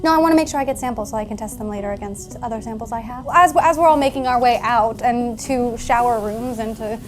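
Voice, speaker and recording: Woman playing a science officer in a game, chatty casual, highish voice, music behind. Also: fast. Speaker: tabletop player as science officer. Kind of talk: casual in-character roleplay. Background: clear background music.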